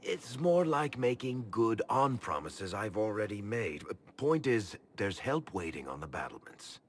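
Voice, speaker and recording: A man's voice: lowish voice, big pitch swings, measured pace. An actor playing a roguish male dwarf in a fantasy video game, casual, wry and a little hedging. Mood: wry, evasive. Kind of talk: video game cutscene acting.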